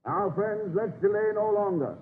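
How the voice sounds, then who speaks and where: A man's voice: sits high, moderate pace, long reverb tail, phone-quality sound, natural voice. An actor playing a dignified Virginia statesman and father in a 1930s radio drama, speaking in a formal, oratorical way. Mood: formal, urgent. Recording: thin, noisy 1930s radio recording.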